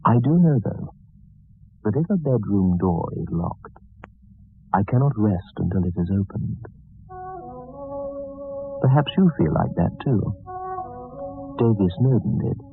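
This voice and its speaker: A man's voice: on the low side, expressive, unhurried, vocal fry, long pauses, over music. A man narrating as host of a radio mystery show, giving a scripted, ominous introduction. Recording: narrow-band old radio recording.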